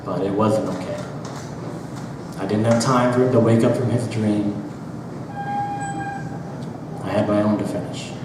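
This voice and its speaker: Man, medium pitch, theatrical literary reading, deliberate pauses, heavy hall reverb, noisy distant recording. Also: fairly even intonation. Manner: theatrical, deliberate, with drawn-out pauses and rhythmic repetition. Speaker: author reading own work aloud. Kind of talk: dramatic literary reading of first-person prose. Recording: very echoey hall, distant mic, background noise.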